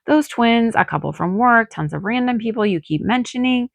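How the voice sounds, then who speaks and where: Woman doing tired annoyed wife in a novel, hurt and snappy, creaky, dry recording. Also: a little high, expressive, moderate pace, dry recording, pitched above the performer's own voice. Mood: accusing. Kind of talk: audiobook character dialogue.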